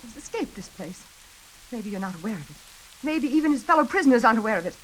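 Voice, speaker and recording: Frightened, haunted woman, swooping pitch. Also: sing-song, fairly bright tone, slight background noise, poor audio. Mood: frantic. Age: 30s. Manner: anxious, dramatic, halting. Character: frightened single woman haunted by her mother's killer. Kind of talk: 1940s radio suspense drama acting. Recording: old radio recording, faint music behind.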